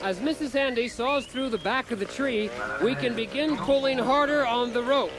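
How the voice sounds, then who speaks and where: A man's voice: extremely high pitch, moderate pace. An actor playing a cheerful, accident-prone handyman husband who hosts a spoof do-it-yourself radio show, narrating like a mock-earnest instructor. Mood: straining, alarmed. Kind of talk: scripted comic instructional narration in radio theater. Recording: clearly noisy, busy effects behind.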